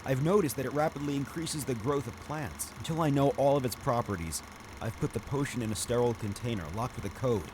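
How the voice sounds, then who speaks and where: A man's voice: medium pitch, fast, noisy background, lightly acted. A man playing an earnest, secretive undead alchemist in a video game, speaking with urgency. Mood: hopeful, excited. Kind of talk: video game cutscene acting.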